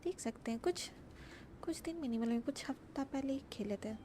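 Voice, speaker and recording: A woman's voice: sits high, animated, very fast, warm tone, slight background noise. A woman from India with an Indian accent, chatting casually as she live-streams a video game. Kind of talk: casual live game commentary, reading dialogue choices aloud.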